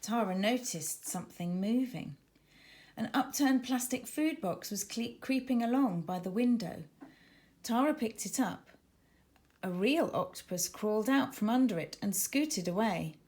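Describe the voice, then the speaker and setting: A woman reading her children's book aloud as a dramatic, suspenseful and expressive narrator. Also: on the high side, measured pace. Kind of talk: expressive scripted story narration.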